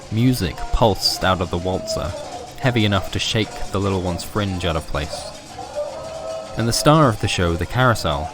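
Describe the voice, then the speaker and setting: A man narrating a scripted horror story for a fiction podcast. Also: fairly low pitch.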